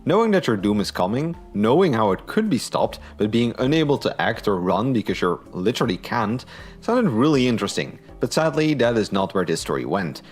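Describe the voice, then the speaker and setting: Lively, deep-voiced man reviewing over music. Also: a little fast. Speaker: book and audio drama reviewer. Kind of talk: scripted review narration.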